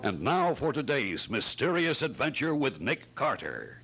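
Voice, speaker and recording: A man announcing in old-time radio style, with a theatrical, emphatic sponsor-pitch delivery. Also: very animated, unhurried, noisy background.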